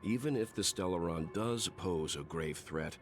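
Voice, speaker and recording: Super deep grave polite game character, formal, apologetic, faint music under. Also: male voice, normal speed. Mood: apologetic, concerned. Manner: formal, considerate. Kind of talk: video game voice acting.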